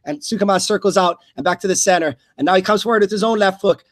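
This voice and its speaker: Male MMA play-by-play commentator, high voice, dry close sound, halting live delivery. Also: dry recording.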